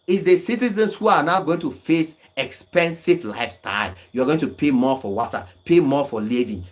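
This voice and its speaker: A young man hosting a livestream, firing back at commenters. His voice swings widely up and down as he scolds and insists, laughing often between his points. Pitch sits in an ordinary middle range. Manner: argumentative, emphatic, laughs often. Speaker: online commentator. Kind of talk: livestream replying to viewer comments.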